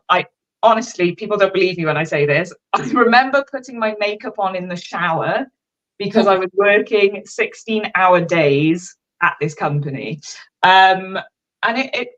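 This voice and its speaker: A woman's voice: medium pitch, fairly bright tone, lo-fi sound. A woman in her thirties with a Welsh accent, a fashion designer chatting candidly and casually as a guest on a livestream, poking fun at herself and letting the odd swear slip. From Wales. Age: 30s. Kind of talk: casual livestream interview chat.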